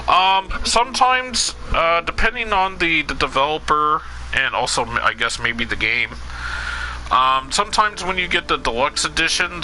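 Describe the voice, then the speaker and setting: A man's voice: medium pitch, bright, forceful tone, slight background noise, rough recording. A man streaming a video game, chatting to viewers in a casual, rambling way and answering their questions with lots of fillers. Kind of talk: casual let's play commentary.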